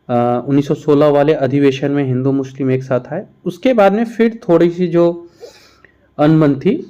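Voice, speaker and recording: A man gives an exam-prep lesson, posing questions and then explaining each answer. His voice sits a little high for a man and has a soft, mellow tone. The recording is somewhat dull and lo-fi. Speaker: teacher, exam-prep instructor. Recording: dull, muffled lo-fi sound.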